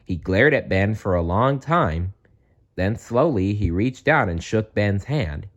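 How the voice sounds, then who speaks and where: A man's voice: fairly low pitch, animated, a little slow, dull audio. A man reading a children's story aloud as a storyteller.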